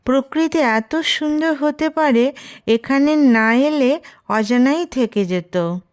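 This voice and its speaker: A woman reading a short story as scripted narration, expressive and gently wry. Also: high-pitched, takes it slowly.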